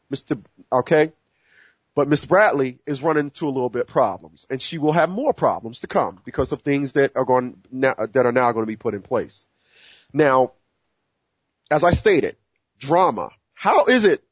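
A man's voice: a little high, husky, dry recording, dull audio. A man in his forties, hosting a solo talk show and speaking earnestly with many restarts and fillers. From United States. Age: 40s. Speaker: show host. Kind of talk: solo monologue to listeners.

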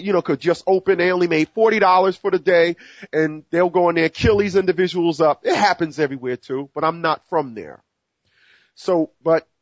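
Guy in his 40s ranting on his own show, kinda high voice, dull lo-fi sound, dry. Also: dry recording. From United States. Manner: earnest, repetitive, many restarts and fillers. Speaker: show host. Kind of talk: solo monologue to listeners.

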